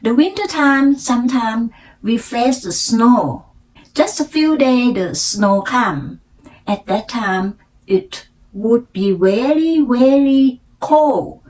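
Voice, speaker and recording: An older woman from Thailand with a Thai accent, a native Thai speaker, telling her personal story in halting, deliberate learner English. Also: on the high side, animated, a little mellow, poor audio. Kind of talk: unscripted personal storytelling practice to camera.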